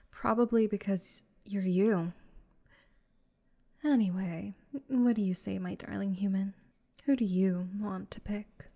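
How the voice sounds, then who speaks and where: A vampire girl, acted by a woman speaks slowly in a middling female pitch. She is smug and possessive, teasing and doting by turns, in the manner of a comedic roleplay audio drama. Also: fairly even intonation, vocal fry, warm tone. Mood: haughty, mocking. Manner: haughty, doting, teasing, drawn-out delivery.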